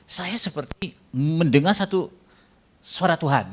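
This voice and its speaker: A man's voice: on the high side, unhurried. A man preaching a sermon in a jokey way, bantering with the congregation and mixing in English phrases. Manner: jokey, banters with the congregation and asks them to raise hands.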